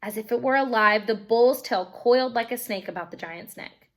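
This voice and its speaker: A woman teacher reading a children's storybook aloud in an expressive narrating style. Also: on the high side, normal speed.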